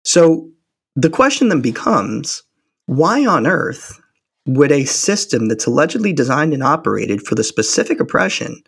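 A man's voice: fairly high pitch, slightly rough. A male guest on a podcast, an author, building his argument with rhetorical questions. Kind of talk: long-form political interview.

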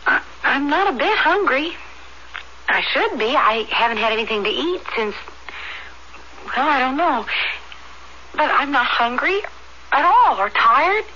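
A woman playing a frightened, bewildered young American woman in a 1970s radio drama, speaking in a halting, pleading way. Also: high voice, very animated, sharp tone, muffled recording, a little hiss or hum, heavily acted. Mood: puzzled, subdued. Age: young adult. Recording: band-limited 1970s radio recording, music behind.

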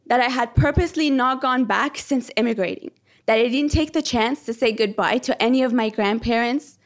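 A young woman from Iran tells a true story from her own life in a slightly high voice. She speaks earnestly and with measured care, letting the reflective moments breathe. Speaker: storyteller, PhD candidate. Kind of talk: first-person stage storytelling.

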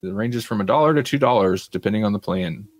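A man's voice: lowish voice, quick pace. A man hosting a casual pop culture talk show in a wry, conversational way. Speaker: podcast host.

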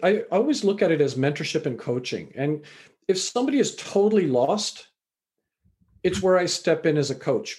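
A man's voice: fairly high pitch, darkish tone. A middle-aged man from Canada, an author and university lecturer, answering interview questions in a reflective, storytelling way with frequent fillers.

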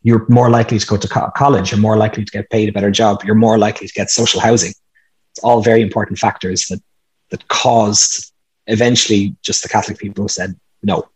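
A man's voice: on the low side, flat delivery, quick pace, husky. A man talking earnestly in a casual interview, explaining at length with some restarts. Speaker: podcast host, interview guest.

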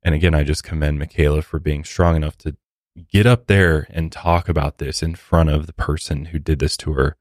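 Very deep-voiced male podcast host. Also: dry recording, clean studio sound. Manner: conversational, informal. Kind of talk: casual podcast commentary and sponsor read.

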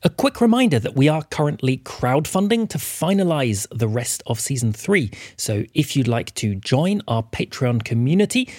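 A man's voice: mid-range voice, gravelly, a little mellow, slightly rough, no echo, clean studio sound. A man hosting an audio fiction podcast, delivering scripted narration as a storyteller.

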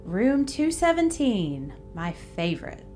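A woman playing a lively, high-voiced innkeeper. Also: takes it slowly, faint music behind. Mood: friendly, curious. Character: friendly, curious female innkeeper. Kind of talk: audio drama acting.

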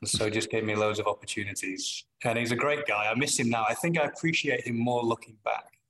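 Bright-voiced Manchester man, reflective. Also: medium pitch, low-quality recording. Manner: thoughtful, with frequent pauses and restarts. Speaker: business leader guest. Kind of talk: reflective interview answers.

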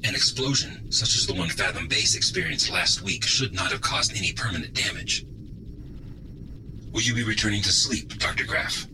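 A man's voice: fairly low pitch, animated, moderate pace, noisy background, natural voice. A man playing a formal, courteous artificial intelligence that serves an undersea base in a science fiction audio drama. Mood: calm, dutiful.

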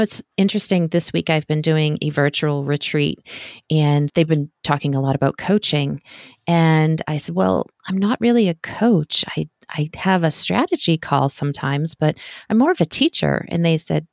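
A woman's voice: low-pitched, animated, moderate pace, creaky, a little mellow, no echo, clean studio sound. A woman in her forties, a podcast producer, talking in an upbeat, earnest way in a casual interview. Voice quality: sweet-sounding voice.

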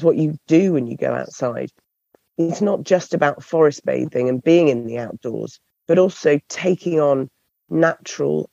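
Hoarse, croaky-voiced woman. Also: deep voice, dark tone, no room sound, muffled recording. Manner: thoughtful, repeats words while searching for phrasing. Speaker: podcast guest. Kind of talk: conversational interview answers.

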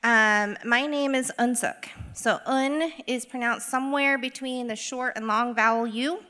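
A woman's voice: on the high side, fairly even intonation, sharp tone. Earnest woman speaking in an echoing hall. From Boise, Idaho, US. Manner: earnest, reflective, measured storytelling. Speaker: equity coach, guest speaker. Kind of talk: live talk to an audience. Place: live event hall. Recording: echoey hall.

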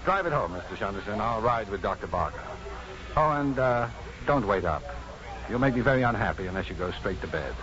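A muffled old radio broadcast carries a low, very expressive male voice. A man acts an assured, kindly physician with dry wit. He phrases things deliberately in classic fifties radio drama style. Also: natural voice. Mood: wry, easy. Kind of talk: 1950s radio drama acting. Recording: muffled, faintly noisy 1950s radio broadcast.

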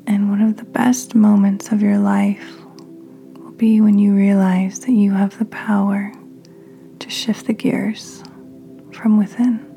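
A woman podcast host leading a guided meditation in a gentle, soothing, breathy near-whisper. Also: medium pitch, flat delivery, takes it slowly. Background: soft music.

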